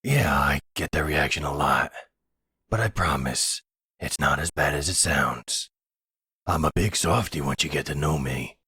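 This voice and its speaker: A man voicing a gruff but courteous werewolf pack leader in an audio roleplay, measured, formal and protective. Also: very deep voice, sharp tone, no room sound, studio quality, full character voice. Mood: reassuring. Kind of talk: intimate audio roleplay acting.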